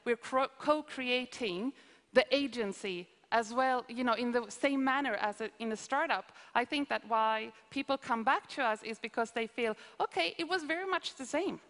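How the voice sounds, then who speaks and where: Woman, Swedish accent, conference Q&A, hesitant explaining, slightly high voice, long hall reverb. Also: normal speed, a bit bright. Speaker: conference speaker answering questions. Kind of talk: live Q&A on stage.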